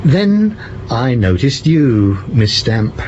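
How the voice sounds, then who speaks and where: An actor playing a shrewd, theatrical French police commissioner in an audio drama, pompous and teasing as he deliberates. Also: medium pitch, sing-song. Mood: sly, probing. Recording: muffled, with background noise.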